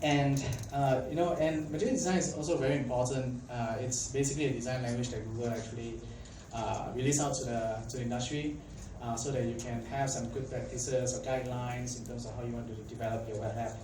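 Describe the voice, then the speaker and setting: A man's voice: mid-range voice, fairly even intonation, fairly quick, hardly any pauses. Malaysian-born man speaking in an echoey hall. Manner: chatty, filler-heavy, asks the audience questions. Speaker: tech conference speaker. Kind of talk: casual conference talk. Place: conference hall. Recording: echoey hall, mic at a distance, faint background noise.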